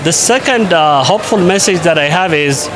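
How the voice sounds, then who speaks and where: A man from Ethiopia, an invited conference speaker, answering interview questions in a measured way with frequent pauses and fillers. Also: on the high side, lively intonation, crowd noise, rough recording. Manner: measured, with many hesitations and fillers.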